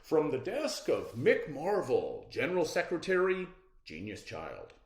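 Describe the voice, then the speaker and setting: Courteous storybook official, acted by a man: formal, emphatic, hugely varied pitch, roomy recording. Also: huge pitch swings, measured pace, full character voice. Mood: formal, inviting. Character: formal, courteous male official reading his invitation letter.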